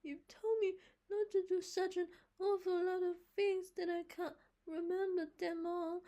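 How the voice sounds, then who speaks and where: Woman doing a whiny little boy, pitched high. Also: little pitch movement, measured pace, dark tone. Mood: whimpering. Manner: whimpering, pleading.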